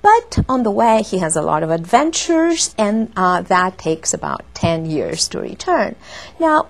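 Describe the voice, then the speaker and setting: A woman with a Greek accent, giving explanatory answers as a guest lecturer, often halting and filling with ums. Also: medium pitch, sing-song, vocal fry. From Greece. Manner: hesitant, halting phrasing with many ums.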